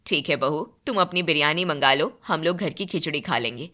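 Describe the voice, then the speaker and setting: A woman playing a stern middle-aged mother-in-law in a story, measured and firm. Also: deep voice, lively intonation, pushed and bright.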